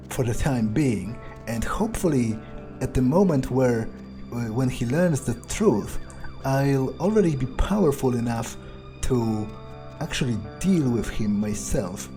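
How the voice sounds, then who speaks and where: Male gamer in character as a scheming vampire: slow, dark-toned, deliberate thinking aloud. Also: medium pitch. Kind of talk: improvised in-character game commentary. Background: faint game music.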